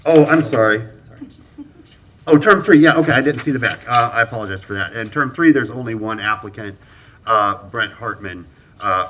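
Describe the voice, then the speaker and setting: A man runs a city commission meeting as mayor, his voice pitched low but bright and forceful. He moves through appointments in a halting, procedural way, full of ums and uhs, with a faint hum of the chamber underneath. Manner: halting, many fillers, brisk procedural. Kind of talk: chairing a public meeting. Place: council chamber.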